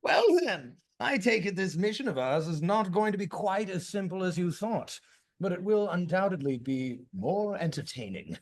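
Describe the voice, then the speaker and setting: Table read role: someone acting a cynical, theatrical male poet, pompous and florid, very animated pitch. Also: moderate pace. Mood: incredulous, amused. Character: theatrical, cynical male poet named Alan, scornful and verbose.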